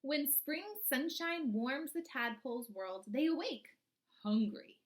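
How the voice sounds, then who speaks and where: A woman reading a children's picture book aloud like a teacher, expressively, often pausing to pose guiding questions to young listeners. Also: sits high, animated, a little hiss or hum.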